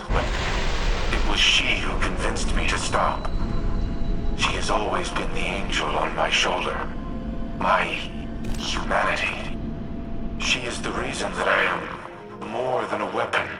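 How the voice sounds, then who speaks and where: A man voicing a grave, remorseful artificial war intelligence in a video game, speaking formally and with measured deliberation. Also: low-pitched. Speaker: military AI ally. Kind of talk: video game cutscene monologue. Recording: loud music behind the voice.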